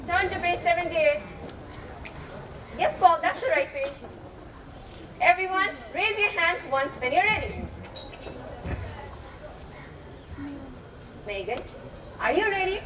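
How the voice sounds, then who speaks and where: Young woman playing female teacher in a school play, high voice, instructive, patient but fed up, noisy far mic. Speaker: student actor in a school play. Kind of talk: amateur stage play acting. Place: echoey hall, distant microphone. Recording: echoey, noisy stage recording from afar.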